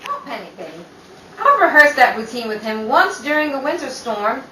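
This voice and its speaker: A woman with a bright, slightly high voice rehearses radio play lines. Her pitch is lively, and the recording is echoey and a little distant with faint noise. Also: normal speed. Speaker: radio play cast member.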